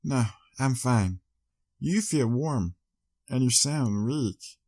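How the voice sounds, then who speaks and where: A man's voice: mid-range voice, expressive, slow pace, darkish tone, pitched above the performer's own voice. A man voicing a brave young female pony in a storybook reading, anxious, caring and pleading. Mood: concerned.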